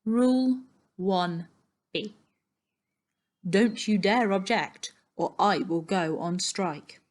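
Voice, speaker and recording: A firm storybook aunt, acted by a woman reading aloud. She is bossy and brisk, with a commanding way of talking. There is kindness beneath her no-nonsense orders. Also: mid-range voice, a little mellow, close to an everyday voice.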